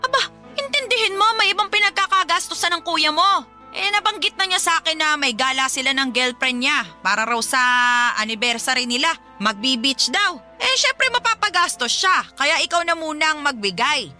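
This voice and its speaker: A woman's voice: high voice, sing-song, moderate pace, soft background music, exaggerated voice. A woman playing a harsh, scornful mother in a Tagalog radio drama, scolding and badgering for money. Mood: scornful, nagging. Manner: scolding, sharp-tongued, badgering.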